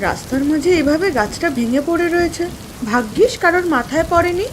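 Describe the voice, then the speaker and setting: An anxious, caring village wife in a cartoon, with an extremely high, swooping voice. She exclaims timidly amid clearly audible rain and thunder. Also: animated, brisk. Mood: fearful. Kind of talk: Bengali cartoon dialogue. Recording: noisy rain and thunder ambience.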